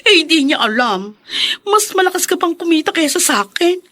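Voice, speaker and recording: An actress playing a brash, pragmatic young friend, chummy and teasing, in a Filipino radio drama. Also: female voice, very high-pitched, very animated, a little fast, sharp tone, no room sound, clean studio sound, heavily acted. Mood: teasing, coaxing.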